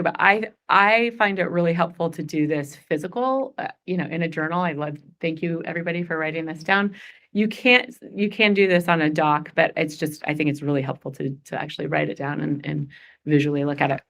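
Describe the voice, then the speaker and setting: A woman's voice: sits low, brisk, fairly bright tone. A woman in her forties leading a goal-setting workshop, chatty and upbeat, laughing often. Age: 40s. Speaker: workshop facilitator.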